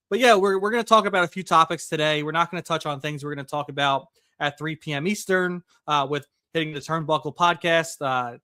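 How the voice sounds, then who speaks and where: A man co-hosting a casual podcast, his voice bright and ringing and pitched a little high. He talks eagerly and conversationally, with plenty of fillers, into a close mic in a dry room. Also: no echo. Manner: excited, quick, conversational with filler. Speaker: podcast co-host. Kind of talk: casual wrestling podcast chat.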